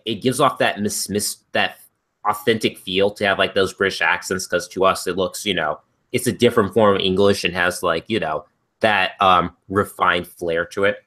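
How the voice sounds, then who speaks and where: A man's voice: on the low side, normal speed, bright, forceful tone. A man chatting casually and wordily, repeating himself as he circles around his point. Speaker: co-host of a casual stream. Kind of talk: casual rambling chat.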